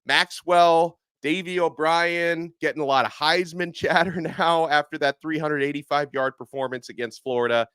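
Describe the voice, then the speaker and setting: Slightly hoarse, excitable podcast host man. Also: on the high side, normal speed, hardly any pauses, dry recording. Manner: animated, excitable, with frequent fillers. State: a little hoarse. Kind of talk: casual sports podcast talk.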